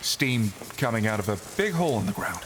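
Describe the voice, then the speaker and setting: A man playing a cocky, flippant adventurer hero in an audio drama, quick with sarcasm. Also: on the low side, sing-song, in a large hall, noisy background. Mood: wry.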